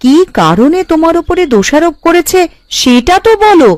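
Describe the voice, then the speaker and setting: A woman's voice: high voice, sing-song, normal speed, a little mellow, no echo, clean studio sound. A woman playing a blunt, anxious village wife in a cartoon, probing and exclamatory. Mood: anxious, questioning. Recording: light background music.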